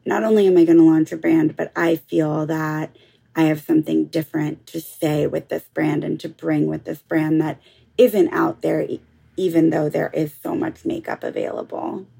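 A woman interviewer with a gravelly, low, mellow voice asks long, winding questions, padding them with fillers as she goes. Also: steady tone, moderate pace.

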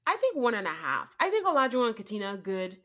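A woman's voice: fairly high pitch, big pitch swings. Nasal woman with a cold, chatting. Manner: chatty, laughing, quick asides. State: congested from a cold. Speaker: podcast co-host. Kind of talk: casual chat.

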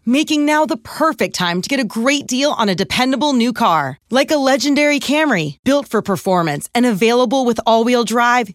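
A woman's voice: a little high, no echo, clean studio sound. A woman announcer giving an upbeat, polished commercial read. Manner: upbeat, polished sales delivery. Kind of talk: scripted car commercial read.

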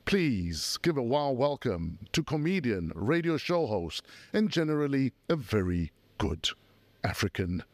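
A man's voice: medium pitch, very animated, unhurried, a little mellow, no echo. A man hosting a podcast, introducing a guest and interviewing him with teasing jokes. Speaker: podcast host. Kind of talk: casual interview chat.

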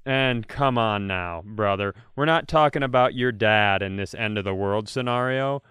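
A man's voice: on the low side, moderate pace, fairly bright tone, clean tone, no room sound. Self-mocking man bantering, clean dry sound. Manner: self-mocking, rambling storytelling with playful exaggeration. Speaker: comedy podcast co-host. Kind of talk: casual comic banter.

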